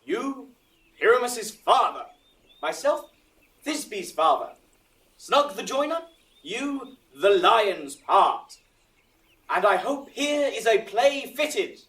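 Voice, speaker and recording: A man's voice: high-pitched, big pitch swings, slow pace, pauses often, a bit bright, recorded from a distance, exaggerated voice. A man playing the earnest organiser of an amateur acting troupe, instructing and coaxing precisely in a Shakespearean audio drama. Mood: businesslike.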